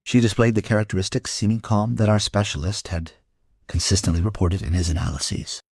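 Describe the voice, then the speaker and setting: A man playing a world-weary police detective, narrating a crime audio drama in a measured, musing way. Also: on the low side, darkish tone, slightly rough, dry recording, studio quality. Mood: grave. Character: world-weary male police detective telling his case.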